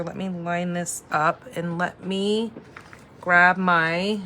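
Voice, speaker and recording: A woman in her forties hosting a casual live craft demo, chatty and thinking out loud as she works. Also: fairly low pitch, a little hiss or hum. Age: 40s. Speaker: crafting host and instructor.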